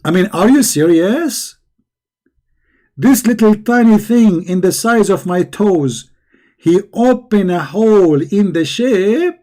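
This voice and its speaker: A hoarse man who is losing his voice, giving a sarcastic, scornful commentary. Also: high voice, lively intonation, slow, mellow. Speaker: religious critic. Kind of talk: mocking video commentary.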